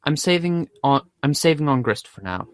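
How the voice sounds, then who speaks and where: A man's voice: a little high, animated, normal speed, no echo, lightly acted. Snarky young webcomic friend voiced by a man. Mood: matter-of-fact, instructing. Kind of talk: amateur readers' theater.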